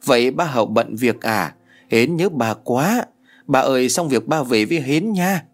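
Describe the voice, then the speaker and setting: A man's voice: medium pitch, expressive, measured pace. A man voicing a chatty, clingy little girl in a read-aloud story, pleading in a whiny child's way.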